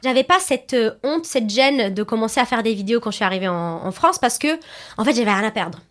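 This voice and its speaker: A young woman from Italy who grew up speaking Arabic and Italian talks in a fairly high voice. She chats informally and uses plenty of slang, as a video creator would on a podcast. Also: quick pace. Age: young adult. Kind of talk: casual chat.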